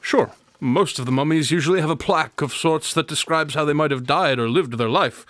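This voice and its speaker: A man's voice: a little high, fairly quick, fairly bright tone, no room sound, natural voice. A man acting as a boastful, inquisitive detective in a radio drama, talking in a dry, precise, wordy way. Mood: smug.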